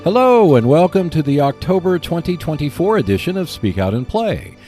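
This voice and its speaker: A man hosting a board game podcast, delivering a prepared monologue in a measured, clearly enunciated presenter style. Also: fairly high pitch, big pitch swings, dark tone, soft background music. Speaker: podcast host.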